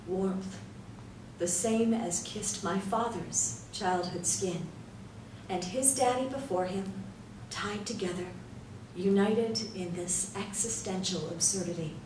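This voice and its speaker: A woman reciting poetry dramatically, in a measured, theatrical way with deliberate pauses. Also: medium pitch. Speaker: poetry reader. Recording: roomy echo, distant mic, light noise.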